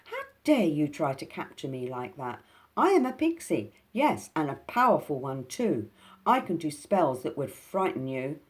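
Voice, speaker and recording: A woman voicing a small, bold male pixie who talks fiercely and loudly in a storybook reading. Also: sits low, expressive, normal speed. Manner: fiery, indignant, boastful.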